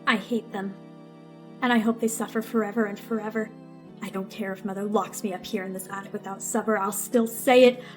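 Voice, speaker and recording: A woman's voice: medium pitch, fairly even intonation, fairly quick, soft background music, close to an everyday voice. An actress playing a bitter, sulky teenage girl in an old-time radio melodrama, with a dramatic, accusing manner. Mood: bitter, resentful. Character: bitter, petulant teenage girl narrating her grievances.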